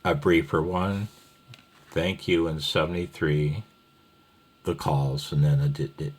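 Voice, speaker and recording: Man, deep low voice, unhurried pace, informal hobby tutorial, rambling, folksy, thinking aloud. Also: steady tone, slightly rough. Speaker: amateur radio hobbyist teaching Morse code.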